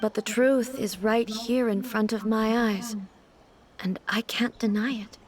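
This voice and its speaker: A woman playing a composed, reserved female deity ruler in a video game, speaking in a formal, measured and deliberate way. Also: on the high side, steady tone, slight background noise, natural voice. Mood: reflective, solemn. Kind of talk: video game character dialogue. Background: music.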